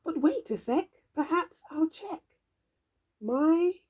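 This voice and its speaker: A woman reading a storybook aloud for young children in an expressive, theatrical, drawn-out way. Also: very high voice. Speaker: storybook reader.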